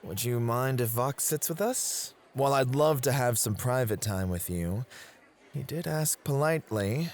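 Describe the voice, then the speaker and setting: A man playing an affectionate, courteous club host in a romantic ASMR roleplay, sweet-talking and polite. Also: mid-range voice. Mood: fond. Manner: sweet-talking, polite, soft laughs and sighs. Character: affectionate, courteous male club host, gently possessive, motivated rival.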